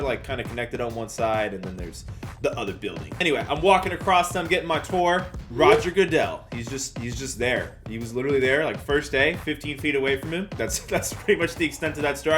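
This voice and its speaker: A young American man telling a personal story in a casual, animated, slangy and self-mocking vlog style. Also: a little high, a little fast, faint music behind. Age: young adult.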